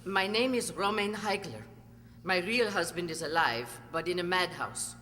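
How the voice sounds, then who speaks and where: A woman's voice: on the low side, moderate pace, a bit bright, lightly acted. A woman in a radio play acting a cold, bitter, secretive former actress with a theatrical, haughty delivery. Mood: bitter, venomous. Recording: echoey hall, noisy hum.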